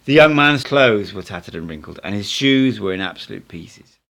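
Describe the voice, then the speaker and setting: A man with a slightly low voice narrates a book. He is an amateur reader delivering scripted, first-person literary prose. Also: animated, moderate pace, gravelly, a bit bright.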